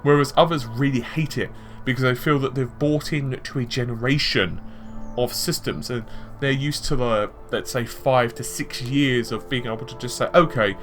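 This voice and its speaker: A man's voice: medium pitch, fairly bright tone. A man giving conversational tech and gaming commentary, explaining his points with examples and asides. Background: background music.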